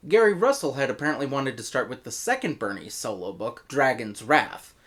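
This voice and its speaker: Man, American accent, review host, scripted narration, very animated intonation, expressive delivery. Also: fairly high pitch. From United States.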